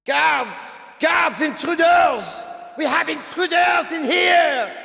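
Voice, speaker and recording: A frantic man sounding the alarm. His drawn-out shouts are extremely high and piercing, echoing around a reverberant hall in this TV drama. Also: animated, vocal fry, some chatter behind, full character voice. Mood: panicked alarm. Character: frantic, shrill alarm-raiser. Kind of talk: TV drama acting.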